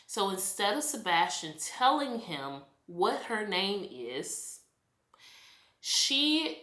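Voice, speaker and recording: A woman from the United States reviews a movie in a chatty, animated rant, sounding exasperated. Her voice is bright and forceful. It rings in an echoey room. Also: mid-range voice, slow. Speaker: vlogger.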